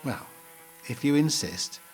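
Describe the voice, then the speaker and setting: A middle-aged man playing a mild, slow-witted, pedantic husband in a comic audio play, hesitant, literal-minded and polite. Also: mid-range voice, expressive, darkish tone, a little hiss or hum. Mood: matter-of-fact. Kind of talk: two-hander comic audio play dialogue.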